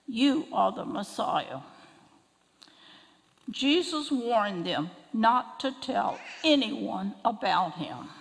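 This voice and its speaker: An older woman reading scripture aloud at a church lectern, slow and careful. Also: on the high side, vocal fry. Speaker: scripture reader. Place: large church sanctuary, at a lectern. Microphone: lectern microphone. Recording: long reverb of a large church hall.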